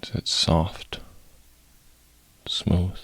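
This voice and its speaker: A man leading a guided meditation, soft-spoken and sometimes breathy, in short phrases with long pauses. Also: dry recording.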